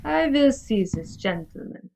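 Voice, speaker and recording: An actress playing a haughty, villainous phony psychic with an imperious, formal, threatening manner in a comedy audio drama. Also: female voice, on the high side, sing-song, a little slow, vocal fry, darkish tone, exaggerated voice. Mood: haughty, cool.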